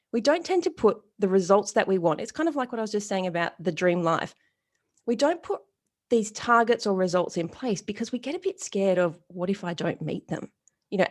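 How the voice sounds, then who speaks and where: A woman in her forties giving an interview as a retail strategist, telling stories bluntly and casually and acting out the people she quotes. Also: medium pitch. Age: 40s. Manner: lively, animated storytelling with quoted dialogue. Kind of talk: storytelling interview answer.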